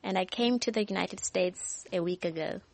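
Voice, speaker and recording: A young woman from Zimbabwe talks as a studio guest, pausing often as she speaks. Her voice has a gravelly edge and sits in a dry, close studio sound. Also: mid-range voice, no echo. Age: young adult. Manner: hesitant, many ums.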